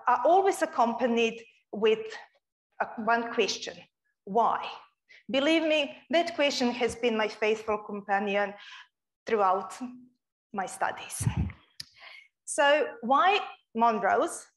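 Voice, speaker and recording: A young woman from Croatia giving an earnest, expressive public lecture as a guest speaker in a lecture room. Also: a little high, rough recording.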